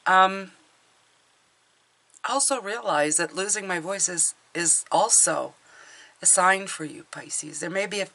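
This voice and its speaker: A middle-aged woman with a hoarse voice from a cold, chatting casually through a tarot reading with fillers and restarts. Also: on the low side, measured pace, long pauses, bright, forceful tone. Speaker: tarot reader.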